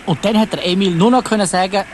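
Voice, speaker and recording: A man's voice: high-pitched, fast, background noise. A man with a Swiss German accent narrating a dramatised children's story, addressing young listeners directly.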